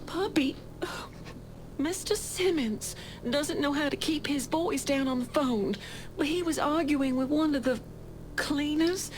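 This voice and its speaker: An actress playing a frightened, reluctant clerk turned informant in a video game cutscene, hesitant and anxious. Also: female voice, high-pitched, takes it slowly. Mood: nervous, confiding. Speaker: informant character. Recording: clear background noise.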